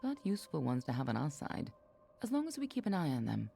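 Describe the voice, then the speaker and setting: A woman's voice: deep voice, huge pitch swings, quick pace, creaky, heavily acted. A woman playing a guarded, sardonic warrior-spy in a fantasy video game, speaking in a dry, wry, confiding way. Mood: wry resolve. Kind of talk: video game character dialogue. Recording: music behind the voice.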